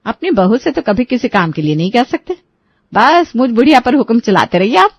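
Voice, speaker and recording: A woman's voice: fairly high pitch, big pitch swings, a little fast, dry recording, highs cut off. Lady doing a bossy old indian mother in law for a cartoon, dramatic scolding, dry sound. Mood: sarcastic grumbling. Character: elderly mother-in-law, indignant, scolding, sentimental about her buffalo.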